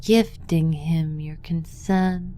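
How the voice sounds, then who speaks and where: A woman leads a guided meditation with extremely slow, deliberate phrasing. Her voice is lowish and soft-edged, dark in tone. She delivers the script in a hushed, incantatory manner. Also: slight background noise.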